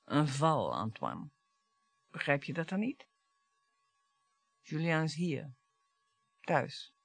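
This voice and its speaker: Bitter, theatrical wife, swooping delivery. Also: female voice, low-pitched, very animated, slow pace, creaky, breathy edge, no echo, soft background music, heavily acted. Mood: hushed, fearful. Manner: sarcastic, dramatic, swinging between hushed and biting. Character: bitter, taunting wife and grieving mother, theatrical and combative. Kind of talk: radio play acting.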